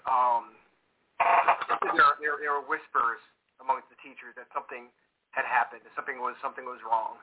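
A man co-hosting a casual talk show, talking in a loose, rambling way. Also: medium pitch. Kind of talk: casual podcast chat. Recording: tinny phone-like audio.

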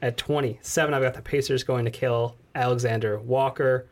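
A man's voice: medium pitch, fairly even intonation, normal speed. Slangy, loose-talking podcast co-host man. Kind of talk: casual sports podcast chat.

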